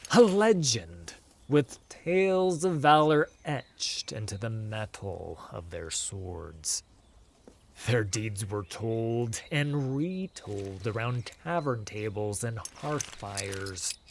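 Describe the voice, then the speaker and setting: A male audiobook narrator delivers epic fantasy prose in a theatrical style. His mid-pitched voice swings widely in pitch, and he takes his time, with measured, deliberate phrasing. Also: slight background noise. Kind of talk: dramatic scripted fantasy narration.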